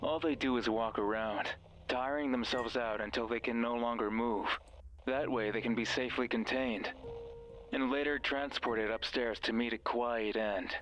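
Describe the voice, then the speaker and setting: A man's voice: mid-range voice, fairly even intonation, normal speed, fairly bright tone, faint music behind, natural voice, rough recording. An actor playing a mysterious, bossy male guide in a horror game, stern, ominous and condescending. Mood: ominous, commanding. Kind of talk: horror visual novel voice acting.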